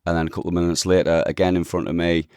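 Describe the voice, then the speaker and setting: A man hosting a football podcast, hoarse this morning, recapping the match in a chatty, enthusiastic way. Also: low-pitched, level tone, racing, darkish tone, dry recording, studio quality. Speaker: podcast host. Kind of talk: casual football podcast chat.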